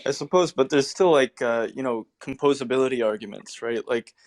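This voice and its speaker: Tentative man with a mid-pitched voice. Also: a little fast. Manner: tentative, peppered with "like". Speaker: participant in live audio discussion. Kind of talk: casual chat.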